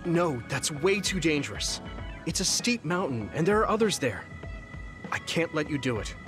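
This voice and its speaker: Earnest young soldier hero. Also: male voice, on the high side, sing-song, normal speed, over music. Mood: worried, insistent. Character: kind, protective, earnest young male soldier comrade. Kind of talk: anime-style video game dub acting.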